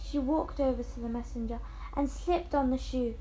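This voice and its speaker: A female reader narrating a fairy tale aloud in an expressive, scripted storytelling style. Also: high-pitched, moderate pace, soft background music, low-quality recording.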